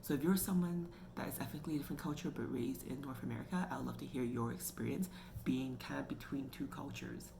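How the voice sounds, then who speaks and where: A woman talks through a book review in a loose, chatty way, full of "like" and "you know." Her voice has a gravelly, creaky edge, and there's a clear layer of noise behind her. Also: extremely low pitch, quick pace. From Canada. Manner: chatty, filler-heavy with frequent "like" and "you know". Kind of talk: casual book review talk. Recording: noticeable background noise.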